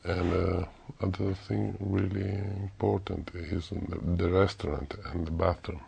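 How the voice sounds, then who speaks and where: Gravelly low Italian man, hesitant. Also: flat delivery, a little slow, darkish tone. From Italy. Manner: hesitant, searching for words. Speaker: exam candidate. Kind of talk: speaking exam answers. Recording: dull lo-fi recording.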